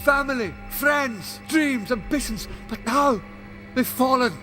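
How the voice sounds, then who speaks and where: Indignant alien hero , male actor, high voice, grave and declamatory, fan audio play with prominent music. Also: slow, sharp tone, exaggerated voice. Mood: anguished, outraged. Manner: impassioned, declamatory. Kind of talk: audio drama acting.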